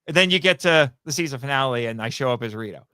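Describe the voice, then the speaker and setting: A man's voice: medium pitch, expressive, fast, bright, forceful tone, smooth, no echo. A man talking casually and enthusiastically as a podcast guest, chatty and often correcting himself as he explains. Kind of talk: casual enthusiastic interview talk.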